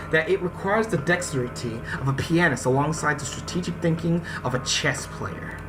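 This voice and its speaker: A gaming host reading a visual novel aloud in a let's-play, stumbling over some words and talking straight to viewers. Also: medium pitch. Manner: reads text aloud, stumbles on words, addresses viewers directly. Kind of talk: let's-play narration with casual asides. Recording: music behind the voice. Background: visual novel game music.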